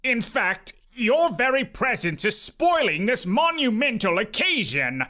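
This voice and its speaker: A man voicing a stern, pompous, greedy rich uncle who speaks slowly and grandly in a storybook reading. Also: extremely high pitch, very animated, bright, forceful tone, voice pushed up, exaggerated voice. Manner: pompous, slow, decreeing.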